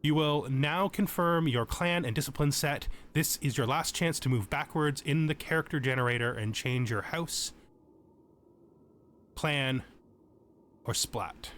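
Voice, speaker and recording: A man's voice: on the high side, a little slow, fairly bright tone, a little hiss or hum. A man giving a tutorial-style gaming commentary, moving between reading out game text and explaining it informally. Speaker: host of a gaming channel.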